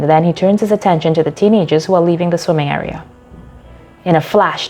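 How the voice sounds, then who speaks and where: A woman narrating a short story from a script in a measured, dramatic storytelling delivery. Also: lowish voice, mellow.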